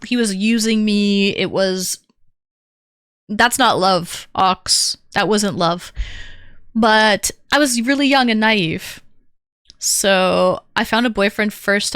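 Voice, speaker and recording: A woman's voice: mid-range voice, fairly bright tone, no echo, studio quality. A woman streamer telling a personal story to her chat, candid and rambling with lots of fillers. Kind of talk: casual chat to stream viewers.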